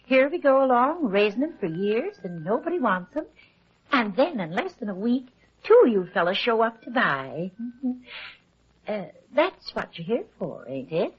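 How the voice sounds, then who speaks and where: An actress playing a chatty, naive farm wife with a rural American accent and a husky voice in an old radio drama. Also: female voice, on the high side, sing-song, normal speed. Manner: folksy, rambling, hesitant. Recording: muffled old radio recording.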